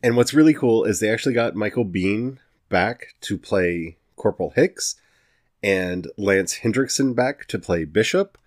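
A man's voice: fairly low pitch, lively intonation, a little slow. A man hosting a solo podcast, giving a casual, enthusiastic and emphatic review. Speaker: podcast host.

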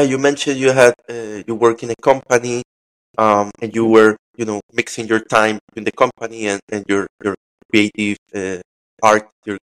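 A man hosting a casual conversational interview, speaking a little haltingly and repeating phrases. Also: fairly low pitch, clear, resonant tone, rough recording. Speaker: interview host.

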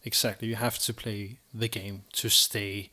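A man co-hosting a casual podcast discussion, halting and repeating words as he thinks. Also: lowish voice, little pitch movement, sharp tone. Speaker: podcast co-host.

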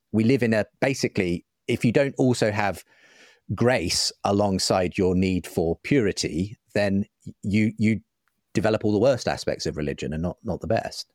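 A man's voice: low-pitched, darkish tone, no echo, studio quality. A man speaking as an interview guest, articulate and reflective, in a conversational style. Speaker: podcast guest, writer and broadcaster.

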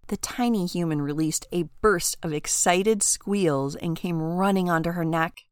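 A woman narrates a storybook tale in a clear, smooth voice. Her pitch sits slightly low and her pace is unhurried. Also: no room sound, studio quality. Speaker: author reading own short story.

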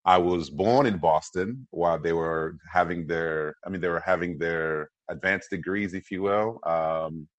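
A man's voice: low-pitched, a little slow, few pauses, no echo, lo-fi sound. A man in his forties who grew up in Congo, a podcast guest telling his family story in an upbeat way, with many "uh" and "um" fillers. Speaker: podcast guest, motivational speaker. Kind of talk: conversational interview.

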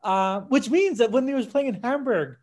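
A man in his fifties chatting casually on a podcast, halting and digressive, often interrupting himself with tangents. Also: very high-pitched, darkish tone. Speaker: podcast co-host. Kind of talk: casual podcast discussion of music history.

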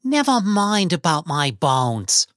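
A man voicing a sharp-tongued, indignant old immigrant wife in a Yiddish-inflected American accent, full of querulous questions, for a story reading. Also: fairly high pitch, sing-song, slow, dry recording, clean studio sound, voice pushed up, heavily acted. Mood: indignant, sarcastic. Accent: Yiddish-inflected American English.

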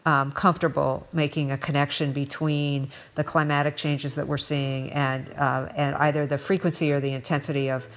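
An American woman co-hosting a conversational podcast, speaking reflectively and haltingly, repeating words as she goes. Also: sits low, flat delivery. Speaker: podcast co-host. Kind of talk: conversational current-events discussion.

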